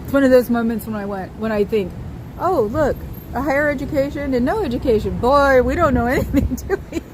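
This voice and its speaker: A woman with a high voice chatting casually to her viewers, wry and quick to laugh. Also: noisy background. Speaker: livestream host. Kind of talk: casual chat reacting to viewer comments.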